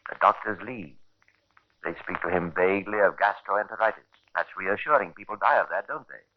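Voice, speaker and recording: An actor playing a sardonic, macabre storyteller, narrating a 1950s radio crime drama with ironic relish and deliberate phrasing. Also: male voice, low-pitched. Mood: sardonic, ominous. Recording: dull vintage radio recording.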